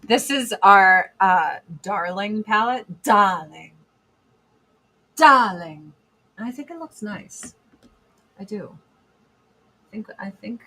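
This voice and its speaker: A woman in her forties with a deep voice, chatting bluntly and profanely on a casual livestream while doing her makeup. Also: vocal fry, pushed and bright, breathy edge, poor audio. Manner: blunt, profane, sarcastic, reads chat comments aloud. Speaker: livestream host.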